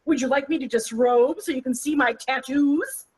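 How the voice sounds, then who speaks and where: A woman's voice: high voice, normal speed, breathy edge. A woman playing an exasperated, indignant older wife and mother with dry formality in a table read. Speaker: actor in a virtual table read. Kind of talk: stage comedy-drama table read.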